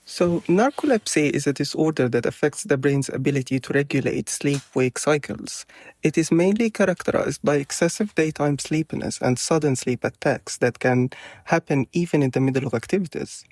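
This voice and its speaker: A man's voice: fairly high pitch, animated, normal speed, darkish tone, dry recording. Man from Egypt, a psychiatrist. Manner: hesitant, with fillers when speaking off script. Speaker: psychiatrist and PhD student.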